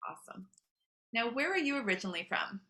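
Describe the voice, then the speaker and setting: A woman's voice: on the high side, very animated, fast talker, bright, forceful tone. A woman in her thirties hosting an interview over a video call, reacting with short, supportive remarks and asking questions. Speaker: interviewer, host. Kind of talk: casual interview.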